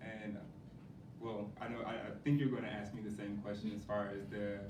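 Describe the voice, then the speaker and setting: A man's voice: level tone, fairly quick. A man answering casually and reflectively in an interview as a member of a production crew. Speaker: assistant director and production manager. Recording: echoey room, distant mic, rumbling noise.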